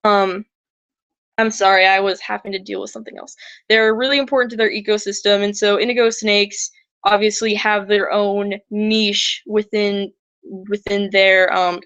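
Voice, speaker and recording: A young woman talks loosely and eagerly, stopping, restarting and filling gaps with "like" and "um". Her voice has gone raspy and a bit shaky. The sound is dry and slightly dull, as though from a cheap home mic with no room sound. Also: medium pitch, a bit bright, dry recording. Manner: chatty, rambling with many fillers and restarts. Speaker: livestream host. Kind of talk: casual educational livestream talk.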